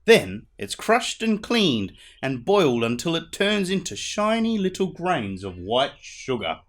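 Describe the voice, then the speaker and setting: A man reading a children's storybook aloud in an expressive narrating style. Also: a little high, unhurried. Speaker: storybook reader.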